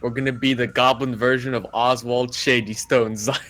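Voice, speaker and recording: A man's voice: mid-range voice, fairly even intonation, moderate pace, a bit bright. A man playing a tabletop role-playing game, chatting casually and joking with the group.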